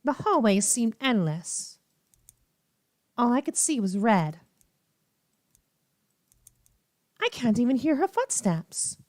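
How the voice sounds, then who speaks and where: A woman's voice: a little high, big pitch swings, measured pace, a little mellow, dry recording, clean studio sound. A woman voicing a panicked, drugged female captive in a visual novel, with dramatic exclamations. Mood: tense.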